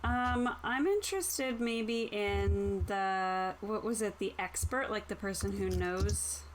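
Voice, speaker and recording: Slow-paced woman chatting, faint background noise. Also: medium pitch. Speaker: tabletop game player. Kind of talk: casual chat.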